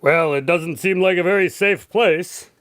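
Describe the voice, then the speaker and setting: A man's voice: high-pitched, unhurried, a bit bright, no room sound. A man playing a good-natured, sceptical doctor, a detective's sidekick, talking in a casual, slightly bumbling way in a radio drama. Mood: kindly, flustered.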